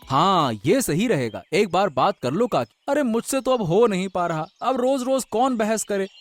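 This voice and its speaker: A man voices a young cartoon village fishmonger, stubborn yet cheerful. His talk is chatty and folksy, with very animated pitch over soft music. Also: moderate pace, no room sound. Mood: exasperated. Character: stubborn, cheerful young male fish seller. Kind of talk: animated Hindi moral-story voice acting.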